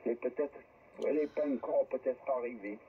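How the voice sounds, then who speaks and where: A man's voice: medium pitch, normal speed. Older man over a dull, hissy radio. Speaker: amateur radio operator. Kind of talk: casual ham radio chat. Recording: dull, faintly noisy amateur radio transmission.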